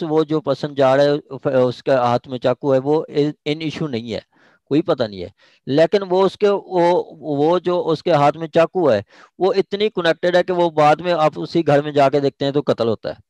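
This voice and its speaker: Man, Pakistani accent, higher-than-average pitch, law lecturer, explanatory, repetitive, checks understanding, dry close sound. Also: darkish tone, no echo. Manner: explanatory, repeats phrases, often checks understanding. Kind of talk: informal lecture explaining legal articles with examples.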